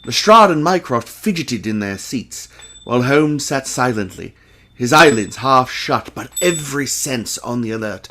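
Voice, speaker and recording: A man acting an earnest Victorian gentleman, a loyal sidekick who narrates an audio drama in formal style. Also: mid-range voice, lively intonation, measured pace. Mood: measured, narrating.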